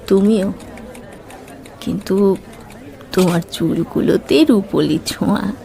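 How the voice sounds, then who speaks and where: Nostalgic middle-aged sweetheart for audio romance, female actor: soft, warm tone, very leisurely, reflective. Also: medium pitch, takes it slowly, creaky. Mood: wistful surprise. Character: gentle, nostalgic middle-aged woman meeting her old love. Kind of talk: Bengali romantic audio drama acting.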